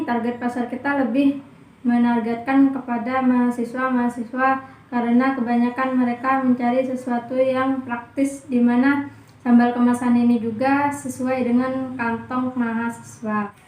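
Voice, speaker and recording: A woman's voice: fairly high pitch, little pitch movement, a little slow, a little mellow. A young woman from Indonesia, a student reading a business presentation from a script, listing points one by one. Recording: echoey room.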